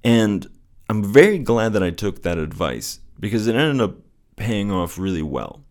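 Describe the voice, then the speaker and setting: A man speaks in a deep, soothing voice. His delivery is earnest and gently encouraging, in the reflective style of someone hosting a personal show about faith. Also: very animated, measured pace. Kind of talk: casual reflective solo talk with scripture reading.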